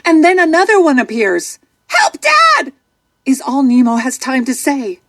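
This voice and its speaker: High, animated woman storyteller. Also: normal speed, dry recording, studio quality. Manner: expressive, dramatic storytelling. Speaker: storybook narrator. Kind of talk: scripted children's story narration.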